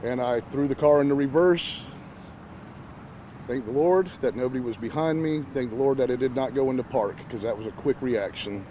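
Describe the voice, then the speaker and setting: A man recounts his story in an outdoor news interview, with earnest, grateful conviction. His voice is mellow and warm, with faint traffic noise behind him. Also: mid-range voice, moderate pace.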